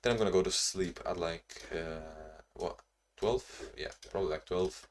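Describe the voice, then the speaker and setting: A young man with a very low voice talks to his camera in a loose, rambling way, often restarting his sentences. He is from Spain and Ukraine, is not a native English speaker, and sounds tired from little sleep. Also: normal speed, slight background noise. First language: Spanish or Ukrainian. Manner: rambling, self-reflective, frequent restarts. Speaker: vlogger. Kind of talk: casual vlog talking to camera.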